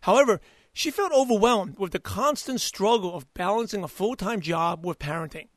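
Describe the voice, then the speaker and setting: Male podcast host, hoarse voice, high-pitched, energetic, dry close sound. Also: moderate pace, no room sound. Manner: energetic, hyped-up delivery. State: hoarse, partly lost voice. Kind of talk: upbeat podcast interview.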